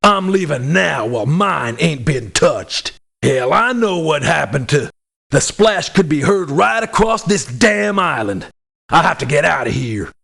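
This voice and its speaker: A man playing a gruff, blunt, hot-tempered mercenary for hire in a video game, with a gravelly, husky voice. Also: very animated, measured pace, fairly bright tone, full character voice. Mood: angry, loud. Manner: blunt, cranky, folksy swearing. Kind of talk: video game character voice lines. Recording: low sample rate game audio clips.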